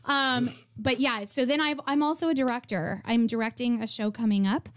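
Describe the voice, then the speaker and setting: A woman's voice: on the high side, normal speed. A woman in her forties, an actress and theater director, chatting upbeat as a radio guest with plenty of "um"s and restarts. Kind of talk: casual radio interview chat.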